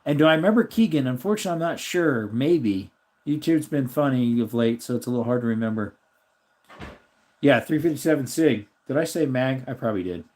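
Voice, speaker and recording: Raspy-ish guy doing a casual live stream, rambling through chat, thinking out loud. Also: mid-range voice, lively intonation, brisk. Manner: rambling, thinks aloud while looking things up. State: slightly raspy voice.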